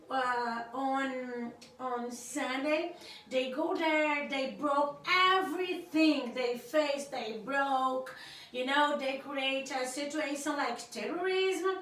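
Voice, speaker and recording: A woman's voice: high-pitched, fairly bright tone. A woman from Africa whose first language is Creole, sharing her opinions in casual talk on a vlog. She speaks hesitantly, searching for words with many fillers and restarts. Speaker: vlogger on her husband's channel. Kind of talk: casual spoken opinion to camera. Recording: very echoey room, voice far from the mic.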